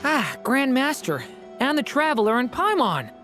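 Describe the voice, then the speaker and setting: An earnest, boyish young fantasy character speaks politely in a lively, varied tone. It is explanatory game dialogue with music behind it. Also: high voice, moderate pace, full character voice. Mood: pleased greeting.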